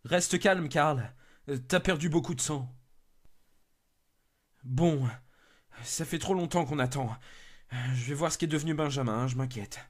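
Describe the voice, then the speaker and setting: A man's voice: a little high, unhurried, long pauses. A man voicing an anxious young rescuer in an amateur horror story, casual and hesitant in manner. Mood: worried.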